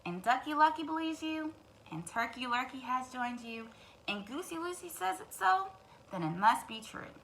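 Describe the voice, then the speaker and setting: A woman voicing an inquisitive, excitable rooster from a children's storybook, animated and questioning. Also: high voice, moderate pace, vocal fry, heavily acted, rough recording. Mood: convinced. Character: inquisitive, excitable young male rooster, easily convinced.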